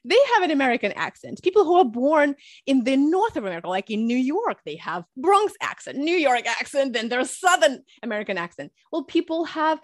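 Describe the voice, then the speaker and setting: A woman from Russia, raised in Ukraine, speaking English as a podcast guest. She talks in an energetic, chatty way, laughing often and explaining through examples. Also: high-pitched, brisk, hardly any pauses, dry recording. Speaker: guest, public speaking coach. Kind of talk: conversational podcast interview.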